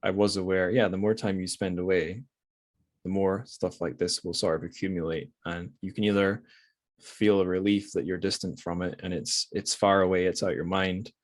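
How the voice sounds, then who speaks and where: Low-voiced Scottish man, rambling and wry. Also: fairly even intonation, normal speed, dry recording, studio quality. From Scotland. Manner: rambling, self-correcting, wry. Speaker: podcast host. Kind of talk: casual reflective book discussion.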